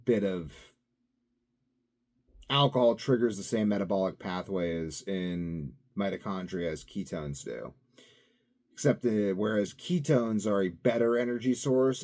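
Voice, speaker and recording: A young man talking casually to camera, wandering from thought to thought and swinging between loud bursts and mumbled asides. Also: lowish voice. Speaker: vlogger. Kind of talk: casual rambling monologue.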